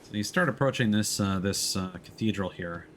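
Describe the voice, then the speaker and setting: A man giving casual live commentary as he streams a video game, often pausing to think aloud. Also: fairly low pitch, noisy background.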